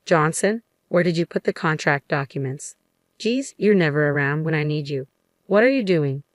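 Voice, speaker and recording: A woman's voice: sits low, lively intonation, moderate pace, no room sound, clean studio sound. A woman reading as a rude, sneering salesman. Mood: scornful. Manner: condescending, scolding. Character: rude arrogant male real estate salesman.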